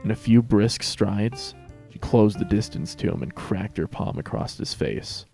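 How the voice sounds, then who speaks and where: A man's voice: on the low side, unhurried, creaky, a little mellow, faint music behind. A man narrating a scripted story as a dramatic reading.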